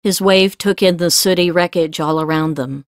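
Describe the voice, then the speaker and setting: A woman reading scripted fiction as an audiobook narrator, with measured storytelling delivery. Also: fairly low pitch, no echo, clean studio sound.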